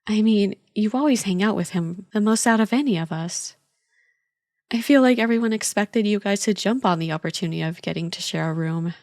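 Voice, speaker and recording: A woman's voice: medium pitch, a little fast, darkish tone, no echo, studio quality, lightly acted. A woman playing a friend's teasing older sister in a casual, intimate ASMR roleplay, chatty, playful and reassuring.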